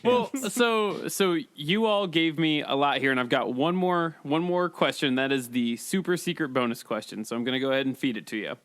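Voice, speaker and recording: A man's voice: a little high, fairly bright tone, smooth, no echo. Male podcast host, close dry sound. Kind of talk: casual comedic panel hosting.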